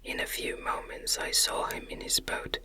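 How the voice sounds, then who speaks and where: A narrator reading a gothic novel as ASMR, in a hushed, breathy, unvoiced whisper with deliberate delivery.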